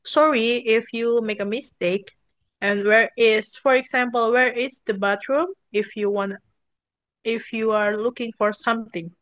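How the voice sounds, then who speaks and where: Slow, careful young woman teaching English. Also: fairly high pitch, fairly even intonation, dry recording. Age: young adult. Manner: slow and deliberate, lifts voice for praise. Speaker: teacher in a role-play English class. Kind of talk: slow, clear classroom instruction. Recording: dull, dry and slightly muffled.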